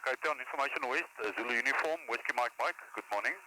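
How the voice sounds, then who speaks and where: A man's voice: mid-range voice, quick pace, slight background noise, rough recording. A man making clipped, procedural pilot calls over an aircraft radio. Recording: cockpit radio audio under loud music.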